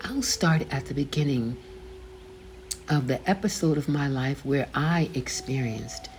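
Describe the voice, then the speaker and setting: An older woman reading her own faith memoir aloud, slowly and deliberately, pausing to gloss the names in scripture. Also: rumbling low, darkish tone, a little hiss or hum. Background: background music.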